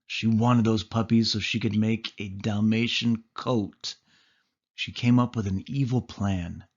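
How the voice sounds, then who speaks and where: A man reading a children's story aloud as storyteller, with expressive narration. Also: lowish voice, a little slow, dull audio.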